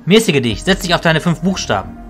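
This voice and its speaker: A man playing a calm, stern and wise mentor in an audio drama, speaking in a measured, firm way. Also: fairly high pitch, faint music behind.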